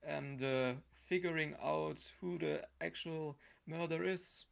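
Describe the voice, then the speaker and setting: A man in his thirties speaking as a non-native speaker, halting and searching for words in a casual interview. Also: fairly high pitch, steady tone, gravelly, highs cut off. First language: not English. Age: 30s. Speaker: voice actor guest.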